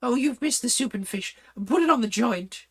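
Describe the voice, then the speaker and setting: Red Queen, shrill and bossy, by a man. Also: lively intonation, moderate pace, breathy edge, exaggerated voice. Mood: impatient. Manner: shrill, commanding, screaming orders. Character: imperious bossy adult female queen.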